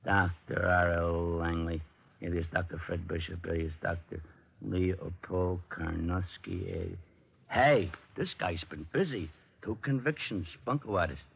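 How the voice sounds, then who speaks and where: Dim, gruff, low-voiced American police sergeant. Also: male voice, steady tone, full character voice. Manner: gruff, slow-witted, ungrammatical bluster. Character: dim, blustering, touchy male police sergeant. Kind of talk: comic golden-age radio acting. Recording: muffled old radio recording.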